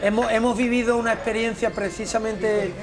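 A man in his fifties, a former footballer, talking in an unhurried, reflective way over lunch in a restaurant and often repeating words as he searches for them. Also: sits high, flat delivery, a crowd in the background. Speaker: interview guest, former footballer. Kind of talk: relaxed conversation over a meal. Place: restaurant by large windows.

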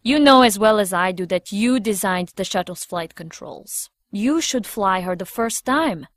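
A woman's voice: mid-range voice, expressive, measured pace, dry recording, studio quality, close to an everyday voice. A woman playing a confident, teasing ex-agent and operations chief in an audio drama, with a playful, coaxing manner. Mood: sly, persuasive.

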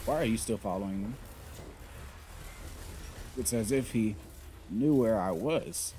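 A man's voice: on the low side, animated, measured pace, warm tone, slight background noise. A young man giving sarcastic, trash-talking commentary while playing a game. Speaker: gamer. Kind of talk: gameplay commentary.

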